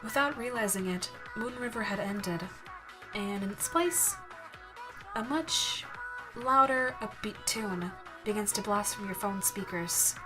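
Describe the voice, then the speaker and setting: Woman narrating softly over clear music. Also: mid-range voice, animated, unhurried. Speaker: narrator and voice actress. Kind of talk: scripted second-person ASMR story narration. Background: instrumental music.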